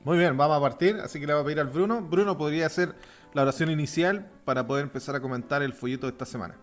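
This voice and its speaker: A man with a softened Chilean accent hosting a religious podcast, chatting informally and offering blessings to listeners. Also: a little high, very fast, faint music behind. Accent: softened Chilean Spanish. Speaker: podcast host. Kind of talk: casual religious podcast chat.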